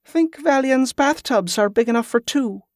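A man's voice: high-pitched, fairly quick, no room sound, clean studio sound. A man voicing a weary, wry and flirtatious woman in an audiobook story. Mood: weary, teasing.

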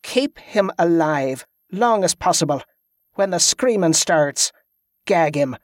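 A man's voice: on the high side, expressive, slow pace, no room sound, clean studio sound. A man voicing a stern, ruthless woman military commander who talks in cold, clipped threats.

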